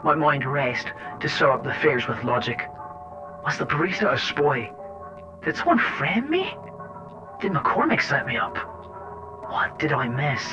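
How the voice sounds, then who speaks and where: A man's voice: on the high side, sing-song, unhurried, pauses often. A man acting a sardonic, hot-tempered agent who tells his story in colloquial first-person drama narration. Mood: alarmed, angry. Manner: colloquial, wry, swearing. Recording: dull lo-fi cassette with music.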